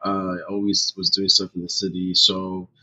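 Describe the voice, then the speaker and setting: A young man from New Jersey, a podcast guest, talks in a loose, rambling way and pads his sentences with "like" and "you know." His voice is bright and a little husky. The sound is muffled and lo-fi. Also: low voice, completely flat delivery, moderate pace. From New Jersey, USA. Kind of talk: casual interview chat.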